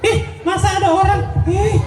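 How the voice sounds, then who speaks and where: A man's voice: extremely high pitch, level tone, fairly quick, over a noisy crowd, pitched above the performer's own voice. A man voicing an innocent, curious, easily scared little boy puppet in live religious storytelling for children, full of wide-eyed exclamations and questions. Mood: amazed, frightened. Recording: echoey hall PA, music, audience noise.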